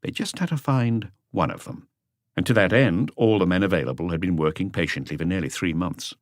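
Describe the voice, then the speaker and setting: A man's voice: deep voice, a little fast, no echo, clean studio sound. A man reading a crime novel as a scripted audiobook narration.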